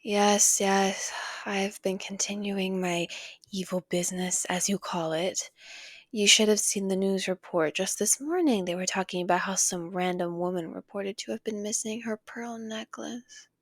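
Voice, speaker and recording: A woman playing a possessive, doting female villain in an intimate ASMR roleplay, coaxing and tender, with a breathy voice that often drops to a whisper. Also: medium pitch, unhurried, no echo, clean studio sound. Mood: smug, playful. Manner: coaxing, tender, lingering on pet names.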